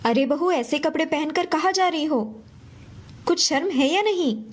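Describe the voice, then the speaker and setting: Cartoon story role: exasperated middle-aged mother-in-law acted by a woman, high pitch, disapproving, old-fashioned. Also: expressive, a little slow, a little hiss or hum. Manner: admonishing, disbelieving. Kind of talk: animated moral story dialogue.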